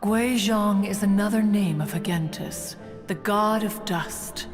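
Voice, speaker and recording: A proud, ancient female immortal, acted by a woman, telling stories in lofty, archaic phrasing. The voice sits in long reverb with clear music behind, like a fantasy game cutscene. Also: mid-range voice, takes it slowly, lightly acted. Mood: stately, nostalgic. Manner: formal, archaic, haughty, calls herself "one". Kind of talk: theatrical storytelling in a fantasy game.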